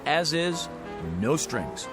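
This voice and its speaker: Slangy used-car salesman, higher voice. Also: sing-song, slow, music in the background. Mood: reluctant, sheepish. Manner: plain-spoken, slangy, salesman's patter. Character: used-car lot owner, eager salesman forced into honesty. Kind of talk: audio drama acting.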